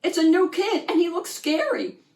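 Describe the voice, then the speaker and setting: A woman voicing an excitable, easily alarmed young boy fish in a children's storybook, exclaiming and exasperated. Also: very high voice, normal speed, echoey room, pitched above the performer's own voice, full character voice.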